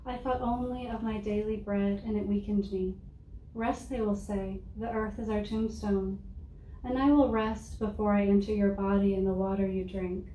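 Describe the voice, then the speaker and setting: A soft-spoken woman reading her own poems aloud in a measured, deliberate way to a live audience. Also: medium pitch, steady tone, muffled recording. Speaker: poet reading her work. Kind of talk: poetry reading. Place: room with an audience. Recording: roomy, distant mic with faint noise.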